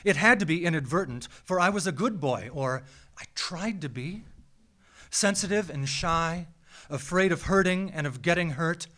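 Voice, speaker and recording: An American man reads a scripted personal story live on stage, with a measured, theatrical delivery and deliberate dramatic pauses. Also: fairly high pitch, lively intonation, fairly bright tone. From small town in Minnesota, United States. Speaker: writer reading a personal essay. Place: small theatre, live event.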